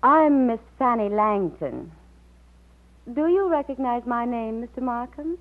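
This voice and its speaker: An actress playing a self-possessed, candid and teasing stage actress no longer young, arch and theatrical, in an old-time radio drama. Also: female voice, a little high, expressive, unhurried. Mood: poised, coy. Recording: muffled vintage radio recording.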